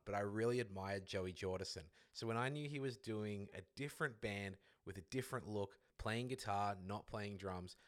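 A man leaving a recorded voice message for a podcast, talking in a measured, reflective way. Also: lowish voice, a bit bright.

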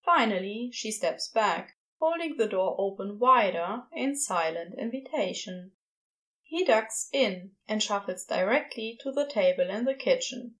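A woman reading scripted fiction aloud as the narrator of an audio drama. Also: mid-range voice.

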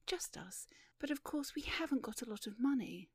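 A shy, polite young lady from an audiobook story, voiced by a woman narrator. She speaks high and breathy, earnest and eager to explain. The sound is close and dry. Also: animated, normal speed, dry recording, exaggerated voice.

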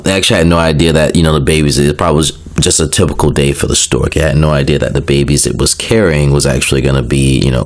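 Super deep-voiced young guy, bit stuffy from a cold, rambling thru game lore w/ lots of ums. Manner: rambling, self-correcting, frequent fillers and asides. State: getting over a cold, slightly nasal. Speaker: video creator. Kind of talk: casual explainer of video game lore.

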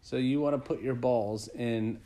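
A man's voice: medium pitch, fairly even intonation, unhurried, dark tone, a little hiss or hum. A man co-hosting a podcast, casually teasing his co-host with crude jokes. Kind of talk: casual joking chat.

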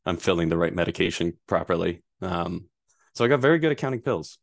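A man in his thirties from Rhode Island, a guest answering interview questions in a relaxed, rambling way with frequent fillers. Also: sits low, fast talker, vocal fry, no room sound, studio quality. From Rhode Island, USA. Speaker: guest, privacy attorney.

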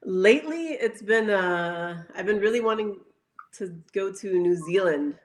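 A woman speaking as an interview guest in casual chat, pausing often and letting phrases trail off. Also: mid-range voice, lively intonation.

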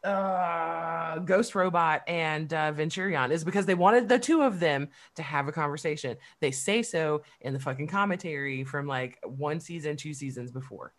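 A woman's voice: low-pitched, moderate pace, hardly any pauses. A woman co-hosting a podcast in a casual, profane, emphatic chat, often breaking into laughs. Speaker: podcast co-host.

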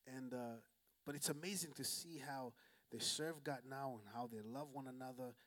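A man's voice: medium pitch, moderate pace. A man who is a pastor, calling out to the congregation and interviewing a guest in church. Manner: energetic, call-and-response preaching.

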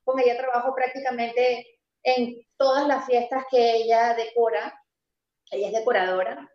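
A high-pitched woman talks through a relaxed interview, lively and emphatic. She leans on key words by slowing down and laughs readily. The sound is roomy and a little dull. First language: Spanish. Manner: animated and emphatic, stressing points slowly, laughs easily. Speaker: interview guest, pastry entrepreneur. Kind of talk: casual conversational interview.

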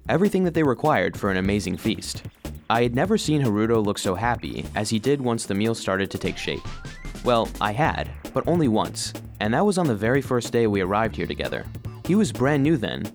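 A man's voice: fairly low pitch, big pitch swings, fairly quick, gravelly, soft background music. A man playing an earnest, loyal little robot vacuum cleaner who narrates a children's audio story. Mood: earnest, storytelling. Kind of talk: scripted first-person children's story narration.

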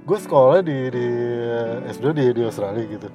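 A man from West Java co-hosting a casual podcast, easygoing and quick to chime in with agreeing murmurs. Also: medium pitch, expressive, dark tone, soft background music. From West Java, Indonesia. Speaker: podcast co-host. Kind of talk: casual chat.

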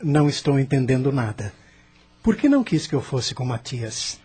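Male actor as a lovestruck young coach hand for radio drama: husky, earnest, flustered. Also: mid-range voice, big pitch swings, normal speed, mellow.

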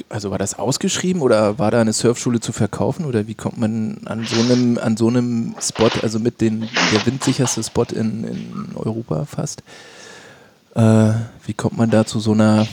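Mellow husky-voiced podcast host. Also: male voice, on the low side, fairly even intonation, moderate pace. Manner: chatty, rambling questions. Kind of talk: casual podcast interview.

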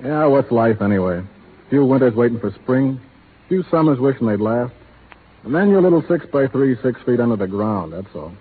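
A man's voice: mid-range voice, lively intonation, moderate pace, natural voice. Man playing a laconic cowboy on crackly radio. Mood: easygoing, wry. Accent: American. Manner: laconic, folksy. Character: fatalistic, calm cowboy rustler resigned to his fate. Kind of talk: 1930s radio western acting. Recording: tinny, noisy 1930s radio recording.

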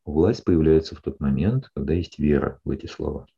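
Low, dark-voiced husky man counseling. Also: fairly quick, lo-fi sound. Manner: calm, explanatory, often checks in with "да?". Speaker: psychologist. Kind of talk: counseling session.

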